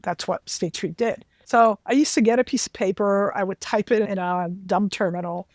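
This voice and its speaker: Woman, 60s, from Lebanon, self-deprecating storyteller, interview guest, mid pitch, dry. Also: moderate pace, a little mellow, dry recording. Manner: anecdotal, self-deprecating, with frequent asides to the host. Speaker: interview guest, finance executive. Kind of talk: candid career storytelling.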